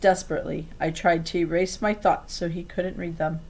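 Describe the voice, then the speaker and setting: A woman reading a children's novel aloud in an expressive storytelling style. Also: fairly low pitch, a little fast, poor audio.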